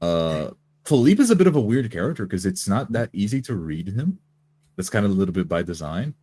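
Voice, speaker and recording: A man's voice: fairly low pitch, very animated, fairly quick, dark tone, breathy edge. A man who plays tabletop roleplaying games, talking casually about his character. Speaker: tabletop game player.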